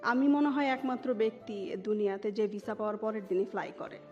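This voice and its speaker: A woman's voice: medium pitch, a little fast, darkish tone. A woman with a Bangladeshi accent telling a personal story in an interview, mixing English words and phrases into her talk. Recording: muffled audio with music underneath. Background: music.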